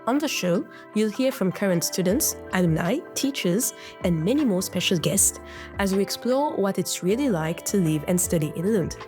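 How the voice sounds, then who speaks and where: A woman's voice: medium pitch, lively intonation, normal speed, darkish tone, soft background music. A young woman from Mauritius hosting a podcast, reading a polished intro and then asking guests questions in an upbeat way. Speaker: podcast host.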